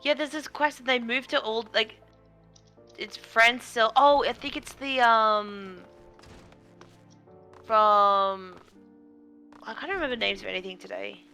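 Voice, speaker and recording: Bright, creaky-voiced woman chatting while gaming. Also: on the high side, steady tone, takes it slowly, long pauses. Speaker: co-streamer. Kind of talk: casual chat while gaming. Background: game music.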